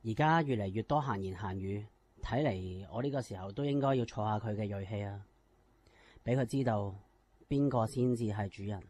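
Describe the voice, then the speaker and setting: An actor with a Hong Kong Cantonese accent playing an aggrieved, self-important company boss in a radio drama. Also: male voice, fairly low pitch, normal speed, a little mellow, close to an everyday voice. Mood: brooding, calculating.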